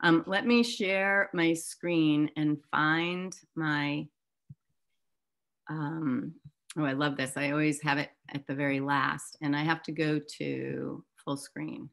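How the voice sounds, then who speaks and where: Female host for an online arts discussion. Slightly low voice, informal, enthusiastic, conversational. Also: fairly even intonation, slow pace, vocal fry, fairly bright tone. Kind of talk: casual, chatty hosting of a live talk. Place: online video call.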